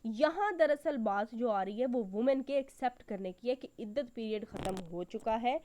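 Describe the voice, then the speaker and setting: A woman's voice: fairly high pitch, expressive, normal speed, mellow. A woman giving an explanatory law lecture, going step by step in a didactic, repetitive way with frequent check words. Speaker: law teacher. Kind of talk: explanatory lecture, reading legal text and explaining it.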